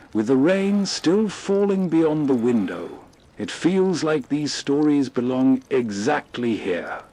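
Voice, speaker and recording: Slow-talking late-night radio host man. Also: mid-range voice, a little mellow. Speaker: host of old-time radio show. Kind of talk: slow, reflective late-night scripted narration.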